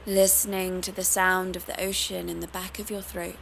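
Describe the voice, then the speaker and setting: A woman teaching yoga meditation, guiding the listener in a calm, soothing, unhurried manner. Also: on the low side, vocal fry, slight background noise. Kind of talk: guided meditation.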